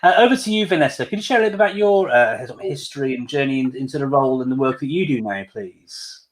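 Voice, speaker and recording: A man's voice: medium pitch, animated, quick pace. A man hosting an online panel, speaking hesitantly with many fillers and restarts. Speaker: host.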